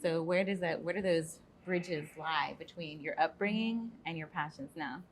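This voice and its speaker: Enthusiastic woman podcast host, low voice. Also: moderate pace, a little hiss or hum. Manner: enthusiastic, encouraging, asks rambling questions. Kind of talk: casual podcast interviewing.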